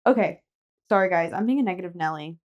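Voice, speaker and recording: A woman's voice: lowish voice, expressive, fast talker, muffled recording. A woman podcast host talking casually and conversationally about books with her co-host. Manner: informal, conversational. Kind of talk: casual book chat.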